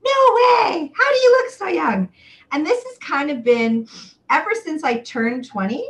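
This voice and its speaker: A woman in her forties hosting a chatty live webcast, talking to viewers in an exuberant, theatrical way with drawn-out emphatic words. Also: sits high, sing-song. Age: 40s. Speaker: livestream show host and sexual wellness coach.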